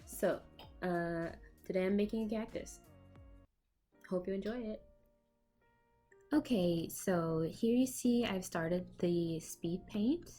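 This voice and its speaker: A woman's voice: medium pitch, slow pace, pauses often, mellow, faint music behind. A woman with a cold, chatting casually with fillers and small laughs as she talks over her own painting video, recorded on a laptop mic at home. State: has a cold. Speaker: art vlogger. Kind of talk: casual voiceover for a speed paint. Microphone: laptop microphone.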